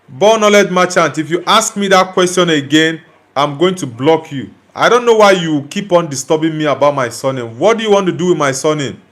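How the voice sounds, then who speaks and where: A man's voice: a little high, lively intonation, a little fast, fairly bright tone. A young man from Nigeria hosting a live stream, casually reading viewer comments aloud and answering them bluntly. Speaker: livestream host reading chat.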